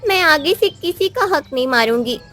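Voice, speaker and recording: A woman voicing a sly, sweet-talking female fox in a children's animated story, with a persuasive manner. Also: high voice, moderate pace, faint music behind. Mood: pleading.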